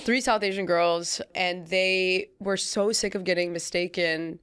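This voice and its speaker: A woman's voice: fairly low pitch, fairly even intonation, a little slow, fairly bright tone, clean tone. A young adult woman, born in Sri Lanka and raised in San Diego, chatting casually as a podcast guest in a laid-back, talkative way. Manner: chatty, laid-back, many fillers and asides.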